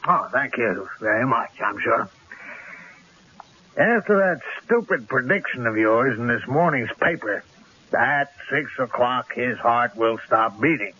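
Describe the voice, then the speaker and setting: An actor playing a cocky, menacing gangster in a radio drama, with tough, slangy street talk and a rough edge. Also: male voice, medium pitch, lively intonation, unhurried, bright, forceful tone, heavily acted. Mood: boastful. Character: cocky, menacing gangster killer holding a couple at gunpoint. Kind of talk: 1970s radio drama acting. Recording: dull, lo-fi old radio broadcast.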